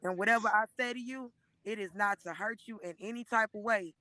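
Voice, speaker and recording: A hoarse woman in her thirties gives an emotional, preachy testimony on a live stream, speaking into a phone and often calling listeners by name. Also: medium pitch, fairly quick, bright, forceful tone. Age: 30s. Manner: earnest and preachy, repeatedly addressing listeners by name.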